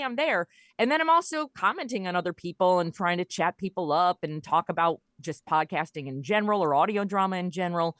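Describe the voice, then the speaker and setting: Female podcast guest, lowish voice, dry close sound, chatty and animated, casual interview delivery. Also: no echo. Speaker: podcast guest, audio drama creator and voice performer.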